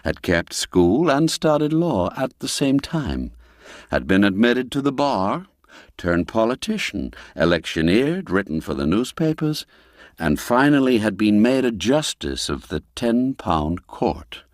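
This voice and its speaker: A man narrating a classic literary tale in a measured, dramatic storytelling manner, phrase by phrase. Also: on the low side, very animated, no room sound, studio quality. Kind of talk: scripted literary narration, audiobook reading.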